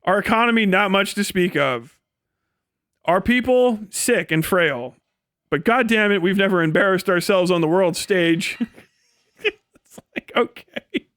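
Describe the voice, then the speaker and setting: A man's voice: sits high, lively intonation, measured pace, long pauses, a bit bright, dry recording, studio quality. A man, casually ranting as a live streamer in a sweary, incredulous, mocking way. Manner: breaks often into laughter while talking. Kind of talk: casual rant and commentary to a stream audience.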